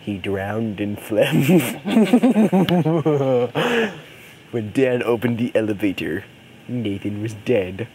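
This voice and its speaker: A man's voice: mid-range voice, very animated, extremely slow, pauses often, a little mellow. A man improvising a comic horror story for friends, imitating a parody voice and often repeating himself as he goes. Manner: imitating a cartoon parody character's voice as a joke; stumbling, repeats words and phrases. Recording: noisy amateur home recording.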